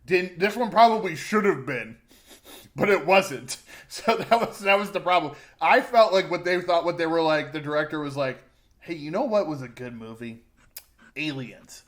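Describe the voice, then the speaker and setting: Guy doing a chatty movie review podcast, kinda higher pitched voice, has opinions. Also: expressive, normal speed, a bit bright. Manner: conversational, informal, opinionated. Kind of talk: casual film review chat.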